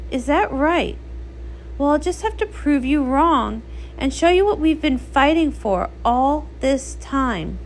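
A woman's voice: sits high, lively intonation, takes it slowly, slight background noise, exaggerated voice, poor audio. A woman voicing a haughty, commanding sea pony queen in a comic read-along.

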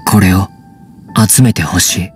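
A guarded wild young man raised among wolves. He speaks slowly and low in clipped, halting phrases, as in an anime game, with faint music behind. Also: darkish tone. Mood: guarded, flat. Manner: halting, blunt short phrases.

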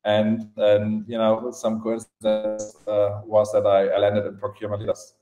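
A man with a German accent telling his story casually as a podcast guest, in halting phrases with repeated words. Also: fairly low pitch, monotone, muffled recording, over music. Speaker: podcast guest, events entrepreneur. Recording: roomy, echoing podcast audio.

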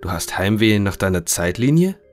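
A man's voice: fairly low pitch, sing-song, moderate pace, faint music behind. A man playing a courteous, inquisitive scientist conducting an interview in an audio drama, matter-of-fact. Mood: probing.

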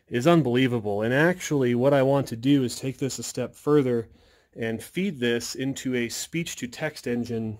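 A man with a mid-pitched voice gives an informal tech walkthrough. He sounds like a hobbyist presenter explaining his own setup step by step. He is recorded on a handheld phone from behind the camera. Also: a little mellow. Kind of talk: casual hands-on tech walkthrough.

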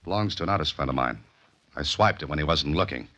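An actor playing a cool, wisecracking amateur sleuth in a 1940s radio mystery, with a deadpan, clipped delivery. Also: male voice, rumbling low, fast talker, heavily acted. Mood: wry, brisk. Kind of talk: 1940s radio mystery acting, first-person narration. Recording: muffled old radio recording with music.

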